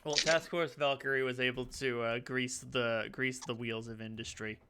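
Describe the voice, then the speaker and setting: A man's voice: medium pitch, steady tone, normal speed, bright, forceful tone, clean tone. A man in casual chat as game master, teasing his players dryly over an online voice call. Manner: dry, teasing.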